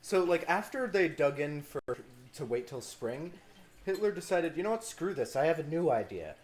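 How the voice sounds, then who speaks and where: A man's voice: a little high, very animated, normal speed, darkish tone. A man co-hosting a casual podcast, joking and rambling through history talk at a table indoors. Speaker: podcast co-host. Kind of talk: casual banter.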